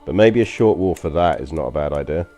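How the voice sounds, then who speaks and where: Deep mellow London man chatting. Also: animated, fast talker, soft background music. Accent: London English. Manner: rambling and chatty, frequent ums and uhs. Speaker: game streamer. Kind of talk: casual gameplay commentary to stream chat.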